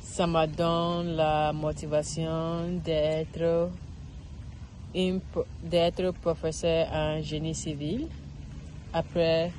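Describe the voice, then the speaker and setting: A woman speaks slowly and haltingly, often stopping to restart a phrase. She talks into a phone camera outdoors in a park. Faint outdoor sounds stay in the background. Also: low-pitched, level tone, noisy background. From Ghana. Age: 30s. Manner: halting, searching for words, frequent restarts. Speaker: vlogger learning French. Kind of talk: casual self-filmed practice monologue.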